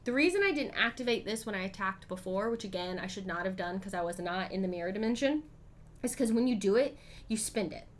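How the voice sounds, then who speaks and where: A woman streaming a solo board game, chatting casually and thinking aloud, with frequent ums and throat clearing. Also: mid-range voice, animated, quick pace. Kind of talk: casual live-stream play-through.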